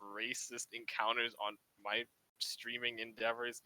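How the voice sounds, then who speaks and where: A man's voice: medium pitch, level tone, measured pace, pushed and bright, low-quality recording. A man chatting casually and opinionatedly on a group podcast, emphatic and wry. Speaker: podcast panelist who streams.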